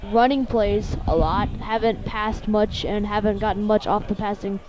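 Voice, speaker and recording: A man's voice: high voice, steady tone, moderate pace, darkish tone. An American man giving off-the-cuff football analysis as color commentator, a little hesitant as he searches for words. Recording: dull lo-fi outdoor broadcast sound.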